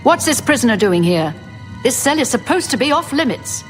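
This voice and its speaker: A woman playing a brisk, authoritative guard captain in a fantasy video game, with a firm, businesslike manner. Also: high voice, sing-song, music in the background. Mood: brusque.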